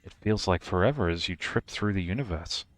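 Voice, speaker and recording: Casting: male streamer, lowish voice, playful theatrical game narrator over faint music. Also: brisk, a bit bright, dry recording. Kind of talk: game narration read aloud on livestream.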